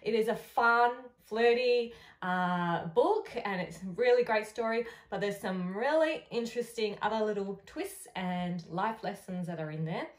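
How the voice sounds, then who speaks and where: An Australian woman talks in a casual, animated, playful manner, as an author promoting her book to followers. Her voice sits at a middle pitch. The room adds a clear echo around her words. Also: unhurried. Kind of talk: casual promotional chat to camera. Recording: echoey room sound.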